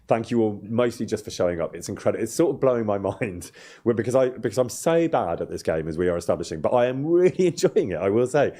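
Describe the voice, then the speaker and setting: A man's voice: lowish voice, mellow. A man hosting a gaming livestream, chatting casually and a little hesitantly while he plays. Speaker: streamer and host of a gaming livestream. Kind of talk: casual livestream chat while playing a game.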